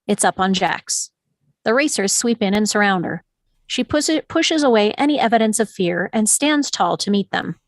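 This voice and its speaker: A woman's voice: mid-range voice, expressive, moderate pace, no room sound. Woman narrator, dry close sound. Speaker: narrator reading stage directions. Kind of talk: scripted narration.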